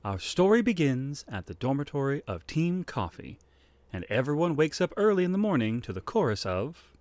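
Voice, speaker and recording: A man's voice: fairly high pitch, sing-song, normal speed, a little mellow, dry recording. A man co-narrating a fan audio drama in a playful, casual way.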